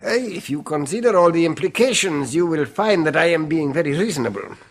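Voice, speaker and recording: An actor playing a shady, self-assured dealer, slyly polite and amused in a classic radio drama. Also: male voice, fairly high pitch, sing-song, brisk. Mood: confident bargaining. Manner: smooth, bargaining, slyly polite.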